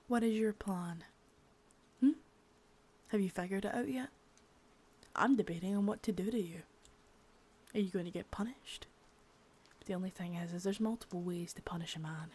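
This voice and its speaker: A woman voicing a sadistic, menacing female boss in an ASMR roleplay, whispering softly with spoken threats between. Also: mid-range voice, normal speed, pauses often, slightly rough, close to an everyday voice. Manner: taunting and threatening.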